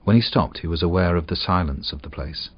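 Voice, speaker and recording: A man with a husky voice reads a short story aloud in an expressive, suspenseful way. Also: low voice, brisk, dry recording. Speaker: audiobook narrator. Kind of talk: dramatic short story reading. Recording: dull, muffled audio.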